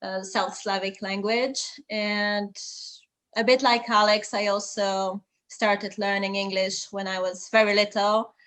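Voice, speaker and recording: A woman's voice: medium pitch, little pitch movement, a little slow, fairly bright tone. A woman whose first language is Bulgarian, co-hosting a casual interview about languages and explaining her own language background. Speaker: co-host. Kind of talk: casual video call interview.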